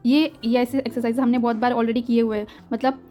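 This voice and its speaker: A young woman, patiently explaining German textbook exercises. She repeats words slowly and mixes Hindi and English. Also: fairly high pitch, little pitch movement, dark tone. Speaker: German teacher. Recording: faint music behind.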